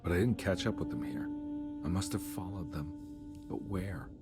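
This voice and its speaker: Deep-voiced, brooding male detective. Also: animated, moderate pace, gravelly, over loud music. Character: brooding, guilt-ridden male private detective, world-weary. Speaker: video game protagonist, private detective. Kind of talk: noir narration and dramatic game dialogue.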